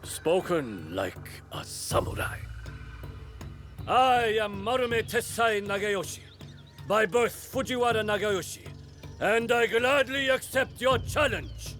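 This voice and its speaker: An actor playing a proud, remorseful samurai duelist in a video game, speaking formally and with measured weight. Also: male voice, high voice, big pitch swings, fairly bright tone, faint music behind, exaggerated voice. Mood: stern, testing, then bold, proud. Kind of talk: dramatic video game acting.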